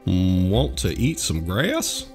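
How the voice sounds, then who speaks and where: A storybook narrator voicing friendly farm animals with drawn-out calls and inviting questions. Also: deep voice, wildly swooping pitch, full character voice. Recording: music behind the voice.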